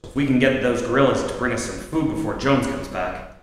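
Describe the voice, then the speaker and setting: A man playing a steady, tough-talking hero in an old-time radio adventure serial, performed live on stage. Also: quick pace, lightly acted. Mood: eager, scheming. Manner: slangy, clipped, tough-talking. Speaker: amateur theatre actor. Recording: very echoey hall, faint rumble noise.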